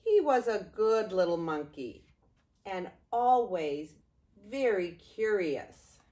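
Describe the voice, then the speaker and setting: An older woman, a grandmother, reading a children's story aloud in an expressive way, drawing out words and pausing. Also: mid-range voice. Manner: expressive, with drawn-out words and pauses.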